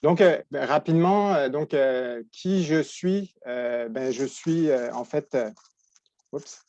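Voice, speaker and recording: A man in his forties from France, with a French accent, giving a webinar presentation as a veterinary professor, in a halting way with many restarts. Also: medium pitch, darkish tone. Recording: dull lo-fi dry sound.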